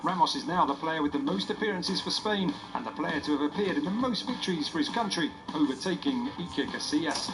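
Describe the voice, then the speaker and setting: A man's voice: fairly high pitch, animated, a little fast, hardly any pauses, rough recording. A man reading scripted sports news narration as a reporter. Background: music bed.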